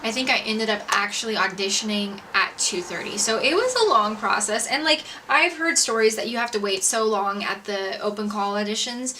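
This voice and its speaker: Bright, chatty young American woman, slightly distant mic. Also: mid-range voice, normal speed, hardly any pauses. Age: young adult. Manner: chatty, informal, peppered with "like". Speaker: vlogger and singer-songwriter. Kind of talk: casual personal storytelling to camera. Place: indoors, makeshift home filming setup. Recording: distant mic, roomy home video, background noise.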